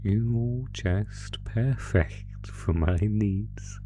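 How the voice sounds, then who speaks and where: A man's voice: fairly low pitch, drawn-out words, dark tone, slight background noise. A man voicing a sadistic, predatory monster from under the bed in a horror audio roleplay, taunting the listener with mock-sweet, savoring menace. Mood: threatening, pleased.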